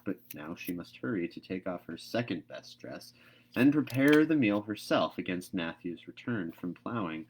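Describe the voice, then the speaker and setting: Low-voiced man narrating a storybook. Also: slightly rough, low-quality recording. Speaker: read-aloud narrator.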